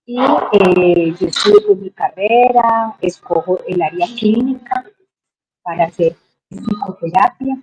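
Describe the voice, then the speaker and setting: A middle-aged woman with a Colombian Spanish accent tells her life story as an interview guest, reflective and unhurried, with many hesitations. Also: medium pitch, dull audio.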